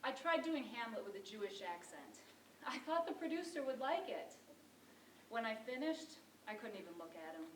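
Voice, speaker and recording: A woman's voice: on the high side, sing-song, normal speed. A woman performing a wry, exasperated struggling actress in a theatrical monologue, telling her story with dramatic emphasis. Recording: roomy distant mic, faint noise.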